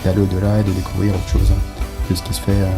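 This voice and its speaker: A man with a gravelly voice speaking reflectively in an interview. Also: sits low, completely flat delivery, fast, loud music behind the voice. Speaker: interviewee, expatriate.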